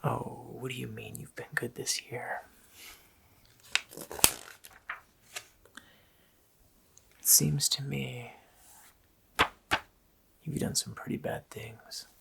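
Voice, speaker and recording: A man voicing a sinister, taunting Christmas demon in a slow, hoarse, menacing whisper for an ASMR roleplay. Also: mid-range voice, fairly even intonation. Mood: accusing, taunting. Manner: slow, menacing, drawn-out phrasing.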